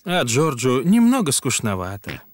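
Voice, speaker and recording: A man narrating a preschool cartoon as a calm storyteller, in a scripted style. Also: mid-range voice, moderate pace, no room sound, studio quality. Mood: calm storytelling.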